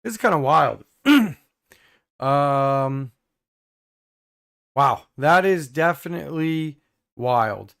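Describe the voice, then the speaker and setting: A hoarse young man hosting a casual live stream, reading viewer comments with many fillers and throat clearing. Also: fairly high pitch, lively intonation, drawn-out words, long pauses, fairly bright tone.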